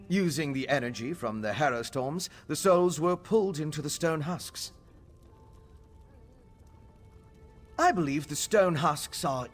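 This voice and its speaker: An earnest alchemist scholar. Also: male voice, mid-range voice, fairly bright tone. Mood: explanatory. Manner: measured, explanatory. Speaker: game character, alchemist scholar. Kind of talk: studio video game voice acting.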